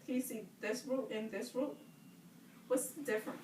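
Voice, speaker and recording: A woman teacher reading aloud to children, pausing to question them and affirm their answers. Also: a little high, steady tone, a little mellow. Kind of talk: interactive read-aloud lesson with questions to children. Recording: roomy, distant mic, clear background noise.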